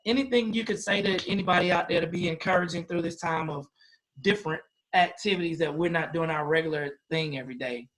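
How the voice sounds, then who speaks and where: High-pitched American male podcast host. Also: fast talker, fairly bright tone. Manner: jokey, chatty, affirming. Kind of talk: casual interview chat.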